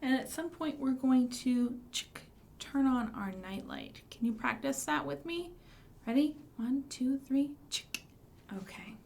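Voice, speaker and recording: A woman's voice: high voice, measured pace, vocal fry, darkish tone, slight background noise. A woman hosting a storytime for young children, reciting rhymes and presenting books with simple questions and prompts.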